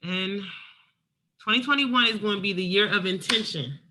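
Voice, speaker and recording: Woman co-host, bright and forceful tone, easygoing and earnest chat. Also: medium pitch, moderate pace, low-quality recording. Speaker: podcast co-host. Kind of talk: casual chat.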